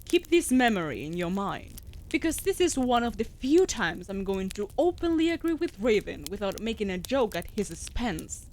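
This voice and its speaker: A woman's voice: medium pitch, big pitch swings, normal speed, darkish tone, a little hiss or hum, close to an everyday voice, poor audio. Woman playing a stoic, caring wolf familiar. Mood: caring, coaxing. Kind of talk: scripted comfort audio roleplay.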